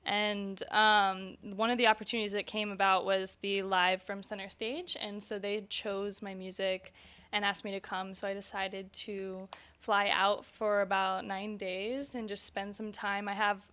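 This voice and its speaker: A woman's voice: mid-range voice, little pitch movement, unhurried, a bit bright. A woman musician talking casually in an interview, informal and conversational, often saying "like" and "kinda". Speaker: musician and singer being interviewed.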